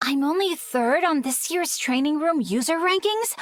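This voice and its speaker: A woman voicing a cocky, fiercely competitive young trainee girl in a video game, brash and expressive. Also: high-pitched, normal speed, no echo, clean studio sound. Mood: competitive, indignant.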